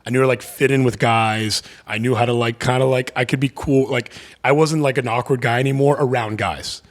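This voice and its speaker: Deep-voiced man rambling in casual chat. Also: fairly even intonation, a little fast. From Miami area. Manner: rambling, many fillers and restarts. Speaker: podcast guest. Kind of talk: casual podcast conversation.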